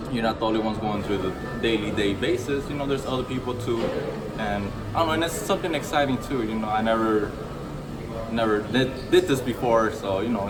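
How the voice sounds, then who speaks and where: A man's voice: on the low side, far from the mic, rough recording. Earnest young man, a bit off-mic. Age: 20s. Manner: earnest, rambling with many fillers and restarts. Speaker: interviewee, burger restaurant supervisor. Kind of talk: casual street interview. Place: busy burger restaurant, indoors. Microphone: phone held by interviewer.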